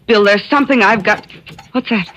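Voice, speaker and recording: A woman's voice: medium pitch, moderate pace, a bit bright, close to an everyday voice. An actress playing a brave, earnest young woman standing up to a traitor in an old radio drama. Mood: tense. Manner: earnest, tense. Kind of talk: 1940s radio acting. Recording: old radio recording with faint hiss.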